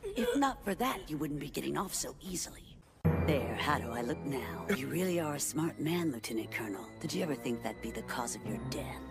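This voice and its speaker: A cruel, youthful shapeshifting anime monster. The androgynous voice sits fairly low and swoops with playful, sneering mockery. Music is loud behind it. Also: female voice, very animated, a little fast. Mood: sneering, contemptuous. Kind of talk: anime dub.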